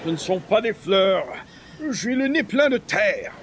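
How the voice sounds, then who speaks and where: An actor playing a fussy, formal, put-upon gentleman with prim, very correct diction in a radio comedy. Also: sits high, big pitch swings, unhurried, a little hiss or hum. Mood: indignant. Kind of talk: old-time radio comedy acting.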